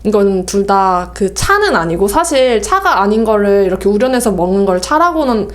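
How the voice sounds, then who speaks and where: A woman's voice: mid-range voice, very animated, moderate pace. A woman podcast host chatting casually and explaining things step by step. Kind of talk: casual chat, sharing tea recipes.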